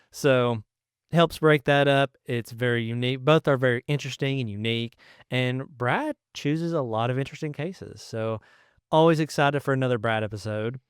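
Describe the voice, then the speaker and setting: A man's voice: medium pitch, clean tone, no echo, studio quality. A man chatting casually as the co-host of a podcast. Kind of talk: casual chat.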